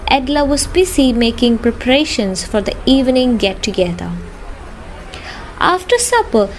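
A woman's voice: sits high, low-quality recording. A young woman narrating a story in an amateur radio drama, reading from a script. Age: young adult. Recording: clear background noise.

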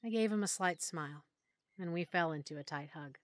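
A woman narrating a first-person audiobook story in a measured, emotional way that sometimes drops to a hush. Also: lowish voice, no echo, clean studio sound. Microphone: close studio mic.

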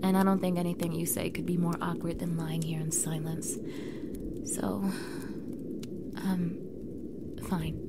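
A young woman playing a defensive mean girl with a vulnerable side in an intimate ASMR roleplay, halting and often dropping to a breathy near-whisper. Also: lowish voice, level tone. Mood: awkward, reluctant. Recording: clearly audible background noise bed.

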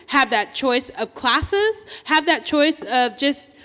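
High-voiced woman, hesitant, in a hall. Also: very animated, pushed and bright. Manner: halting, many fillers and restarts. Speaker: school board candidate. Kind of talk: candidate forum statement. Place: large hall, seated on stage panel. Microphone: handheld microphone. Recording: long hall reverb.

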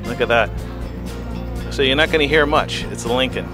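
A man's voice: mid-range voice, normal speed. A middle-aged man hosting a car show, casually interviewing an owner with a handheld mic and admiring the car. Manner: enthusiastic, admiring, often says wow. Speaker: host. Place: outdoor car show on a lawn. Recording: music in background.

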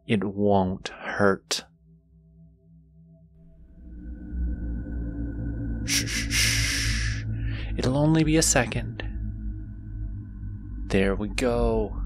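A man's voice: fairly low pitch, very animated, drawn-out words, long pauses, soft background music, full character voice. A man playing a teasing, flirtatious, gleefully wicked demon suitor in an intimate audio roleplay, drawling seductively to the listener. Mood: pleased, seductive. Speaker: voice actor.

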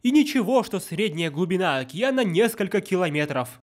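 A man's voice: high-pitched, sing-song, a little fast, loud music behind the voice. A man delivering scripted, sarcastic commentary as a mocking video narrator. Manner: sardonic, mocking. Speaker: narrator of a film-nitpicking video.

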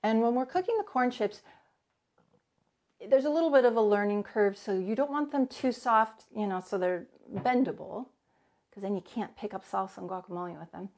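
A woman's voice: medium pitch, animated, normal speed, a little mellow. A woman in her forties teaching a live cooking demo from her home kitchen, chatting and greeting viewers by name as she works. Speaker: cooking instructor.

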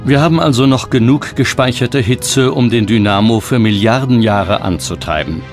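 A man's voice: mid-range voice, moderate pace. Male documentary narrator over music. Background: background music.

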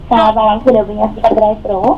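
A woman's voice: mid-range voice, level tone, very fast, noisy background. An Indonesian woman taking drive-thru orders with polite, routine customer-service phrasing, heard through a speaker from inside a car. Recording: muffled drive-thru speaker under loud music.

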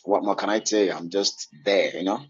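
Man, foreign accent, halting, lots of ums, low husky voice, muffled lo-fi call. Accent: foreign English. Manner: hesitant, many fillers, halting. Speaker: caller. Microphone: online voice call.

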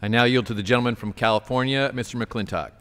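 A man's voice: mid-range voice, fast, a bit bright, clean tone. A man presiding over a legislature, formally calling on the next speakers. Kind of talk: formal parliamentary announcements. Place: large legislative chamber.

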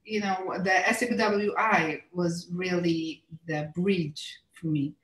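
Slow-spoken Israeli woman on a video call. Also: fairly low pitch, fairly even intonation, a bit bright. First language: Hebrew. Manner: thoughtful, many fillers and restarts. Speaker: author and illustrator, interview guest. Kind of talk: casual interview over video call. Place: home room with bookshelves. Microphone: video call, computer mic. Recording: roomy video call audio.

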